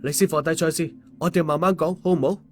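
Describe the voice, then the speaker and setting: A man voicing an excitable, cowardly, complaining man in an audiobook. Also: on the high side, fast talker, faint music behind, lightly acted. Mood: frightened pleading. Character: excitable, cowardly, wheedling man who protests loudly. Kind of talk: audiobook character dialogue.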